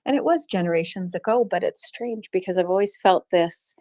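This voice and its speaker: A woman's voice: fairly low pitch, fairly quick, mellow. A middle-aged Canadian woman who runs a small shop, telling a personal story in an earnest, reflective way with frequent laughs. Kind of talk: casual chat on a live audio space.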